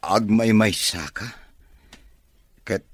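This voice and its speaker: Man acting a deep-voiced, caring boss. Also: takes it slowly, dry recording. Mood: gentle, consoling. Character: caring, well-off boss and expectant father. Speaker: voice actor in an audio drama. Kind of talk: Filipino radio-drama acting in Ilocano.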